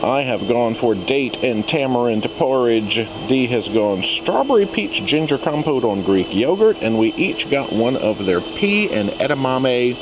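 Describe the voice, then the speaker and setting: A man's voice: medium pitch, very animated, measured pace, few pauses. A man narrating a casual travel vlog in a playful, exclamatory way. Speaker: travel vlogger. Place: on board a cruise ship, various spaces. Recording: clear background noise, ship ambience.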